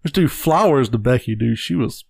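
Laid-back male co-host, mid-pitched, crude humor, dry close mic. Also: lively intonation, moderate pace, gravelly, darkish tone, dry recording. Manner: laid-back, crude joking, swears often. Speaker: podcast co-host. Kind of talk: casual chat.

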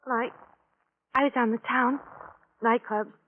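A woman playing a fragile, evasive young socialite in old radio drama, speaking haltingly and repeating herself. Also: fairly high pitch, steady tone. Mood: shaken, evasive. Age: young adult. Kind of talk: 1940s-50s radio drama acting. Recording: tinny, noisy old radio broadcast.

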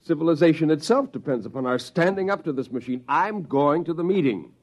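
An actor playing an earnest, deliberate professor and husband in an old-time radio drama. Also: male voice, fairly high pitch, animated, faint music behind. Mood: resolute. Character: grave, resolute male professor, weary husband. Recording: muffled vintage radio recording.

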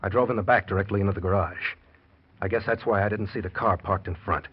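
Curt, guilty husband, husky vintage radio. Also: male voice, fairly low pitch, steady tone, quick pace. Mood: brooding. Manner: curt, defensive, suspicious. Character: guilty, testy husband hiding a deadly scheme. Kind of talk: old-time radio thriller acting. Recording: dull vintage radio recording.

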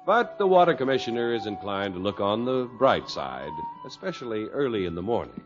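A man's voice: fairly low pitch, sing-song, a little fast. A man announcing and narrating in the scripted style of a 1940s radio comedy. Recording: muffled old radio with loud music.

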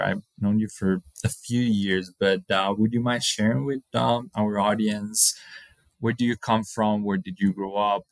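A man's voice: on the low side, gravelly, no echo, rough recording. A man hosting an interview, asking questions in an informal, conversational and somewhat hesitant way. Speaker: interviewer, host.

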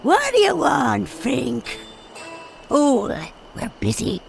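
An actress playing a haughty, mocking villainess with imperious, melodramatic delivery in an old-time radio drama. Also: female voice, high-pitched, huge pitch swings, slow pace, gravelly, music in the background, heavily acted. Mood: furious. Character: haughty, theatrical villainess, one of twisted twin sisters.

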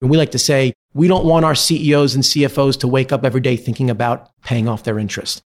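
A man answers questions as an executive guest in a business interview. His voice sits at a middle pitch. He explains things in an articulate, measured and diplomatic way. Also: fairly even intonation.

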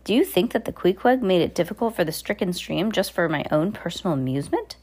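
A woman's voice: on the low side, animated, darkish tone, breathy edge. A woman voicing a frantic, blustery male submarine captain who fires off rhetorical questions. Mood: exasperated. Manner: rapid-fire rhetorical questions.

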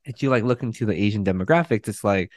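A man's voice: lowish voice, quick pace, dry recording, clean studio sound. A man hosting an interview podcast, friendly and upbeat, with many "like" fillers. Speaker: podcast host.

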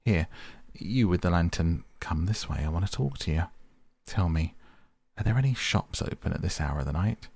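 A man voicing a brisk, masterful male water rat who gives orders in a hearty, commanding way. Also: low voice, animated, a little mellow, dry recording.

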